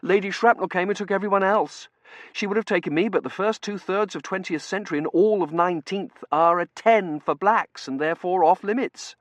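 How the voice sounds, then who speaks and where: A nervous young undergraduate man voiced by a male audiobook reader. His voice is pitched high, hesitant and deferential, with a tendency to stammer. The sound is dry and close. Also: a little fast, dry recording, lightly acted.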